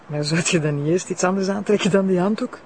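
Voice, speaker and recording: A woman's voice: fairly low pitch, fairly quick, close to an everyday voice. Actress as eager Flemish female prosecutor, faint noise. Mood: amused, admiring. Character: eager, polite, determined female deputy prosecutor. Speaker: actor in an audio drama. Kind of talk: naturalistic audio drama dialogue.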